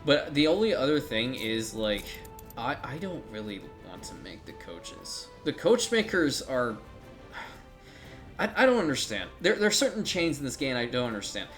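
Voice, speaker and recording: A man's voice: mid-range voice, sing-song, normal speed, a bit bright, soft background music. A man giving casual, rambling commentary while playing a strategy game, thinking aloud and swearing now and then.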